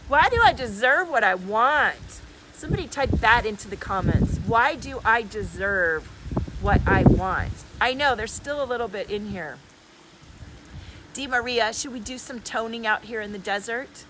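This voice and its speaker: A woman's voice: mid-range voice, sharp tone, rough recording. A woman speaking effusively and emphatically in casual new-age coaching style on a livestream, as a healer and host. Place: outdoors in the desert. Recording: clear background noise, outdoors.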